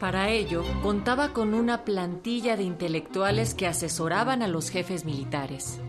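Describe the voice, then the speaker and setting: Una mujer que narra hechos históricos en un radioteatro con una entrega pareja e informativa. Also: female voice, mid-range voice, normal speed. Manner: even, informative delivery. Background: music.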